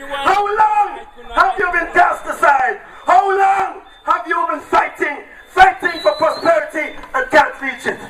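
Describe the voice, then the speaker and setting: High-pitched Antiguan man shouting a rally speech. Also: measured pace. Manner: shouting, repetitive rallying cries. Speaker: political campaigner. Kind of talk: outdoor campaign speech. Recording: echoey, distant, loud crowd.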